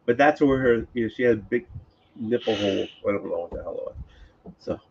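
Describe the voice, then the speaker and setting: A man's voice: mid-range voice, fairly quick, creaky, husky, dull audio. A man chatting on a live stream, crude and teasing, swearing freely at his friends. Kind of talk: casual chat on a live stream.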